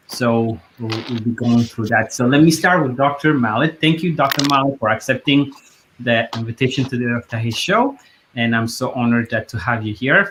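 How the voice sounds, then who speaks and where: A man hosting a live online talk show, speaking earnestly with halting phrases and fillers. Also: medium pitch, background noise. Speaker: host.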